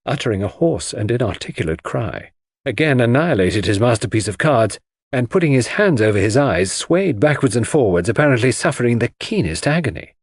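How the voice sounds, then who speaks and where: A man's voice: medium pitch, big pitch swings, fairly quick, slightly rough, no echo. A man narrating a mystery novel as a dramatic audiobook reader.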